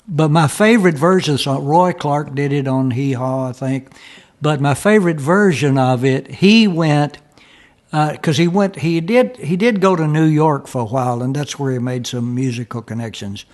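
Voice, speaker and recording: A man, a musician guest, telling stories in a casual, rambling chat and searching for his words. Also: fairly high pitch.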